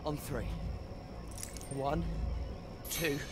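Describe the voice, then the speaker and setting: A man's voice: fairly high pitch, drawn-out words, over loud music. A man voicing a resolute, protective teenage wizard hero with a British accent in a video game, earnest and urgent. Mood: solemn, urgent. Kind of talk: video game dialogue acting.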